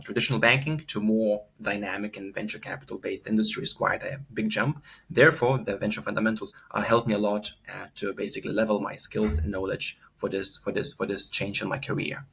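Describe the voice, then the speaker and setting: A man's voice: lowish voice, creaky, a bit bright, slightly rough. A young man from Lithuania, giving an earnest, unscripted course testimonial full of fillers and repeated words.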